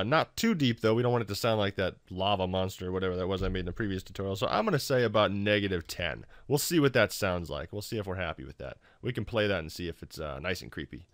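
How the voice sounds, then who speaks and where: A man with a fairly low voice hosts a software tutorial. He talks informally, with a wry, self-deprecating manner. The sound is dry and close, with little room around it. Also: no echo. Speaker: tutorial host. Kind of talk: casual software tutorial narration.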